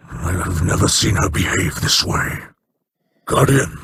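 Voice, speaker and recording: A grave alien elder from a sci-fi game who speaks slowly and formally, his pitch rising and falling widely as regret weighs on each line. The game audio carries a clear hiss of noise underneath. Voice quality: low, measured, formal speech. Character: solemn Eliksni male elder, grave and regretful. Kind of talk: video game dialogue.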